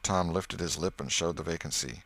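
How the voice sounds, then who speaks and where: Male audiobook narrator, deep voice, close dry recording, scripted storytelling. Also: normal speed, a bit bright, dry recording.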